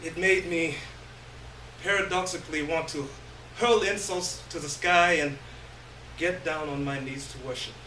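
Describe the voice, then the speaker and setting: A roomy, distant recording carries a man's notably high voice as he reads a story aloud on stage. His delivery is theatrical and halting, with long pauses between phrases. Faint noise sits behind him. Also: bright, forceful tone. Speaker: reader of a short story. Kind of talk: dramatic first-person literary reading on stage. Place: live indoor stage reading. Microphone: distant microphone.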